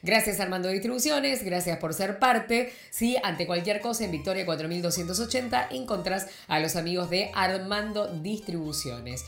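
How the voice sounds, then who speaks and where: A woman's voice: mid-range voice, expressive, moderate pace, gravelly, hardly any pauses, echoey room. A woman with an Argentine accent hosting a morning radio show, chatting casually and rambling with lots of fillers and restarts. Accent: Argentine Spanish. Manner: warm greeting, rambling with many fillers and restarts. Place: radio studio.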